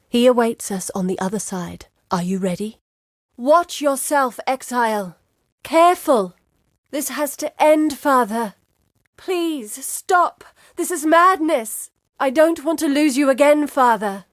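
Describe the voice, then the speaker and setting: A woman's voice: on the high side, no room sound, studio quality, natural voice. An actress playing an earnest, determined woman guide in a video game, with a grave, articulate delivery. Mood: urgent, resolute, then urgent, alarmed, then anguished, pleading. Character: earnest, determined woman guide searching for her lost father. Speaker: game character voice. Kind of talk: dramatic video game voice acting.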